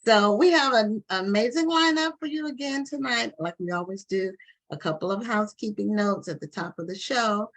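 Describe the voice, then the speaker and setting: A woman's voice: mid-range voice, normal speed, fairly bright tone. A woman hosting a live online book show, chatty and loose with restarts and fillers, talking over a video call. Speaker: talk show host.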